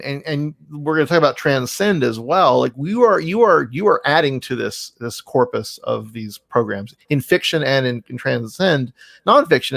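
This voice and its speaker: Man, creaky voice, effusive podcast host, casual chat, rambling and emphatic, close dry sound. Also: mid-range voice, no room sound. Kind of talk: casual, enthusiastic interview chat.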